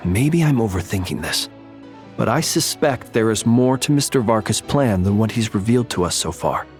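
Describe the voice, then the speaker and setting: A man playing an earnest, thoughtful soldier in a video game, courteous and measured. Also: fairly low pitch, expressive, faint music behind, close to an everyday voice. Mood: pondering. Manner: measured, formal.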